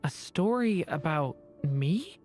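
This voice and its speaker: An actor playing a gentle, thoughtful young dragonborn boy in a game, earnest and a little hesitant. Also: medium pitch, animated, slow pace, music in the background. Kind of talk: English game voice acting.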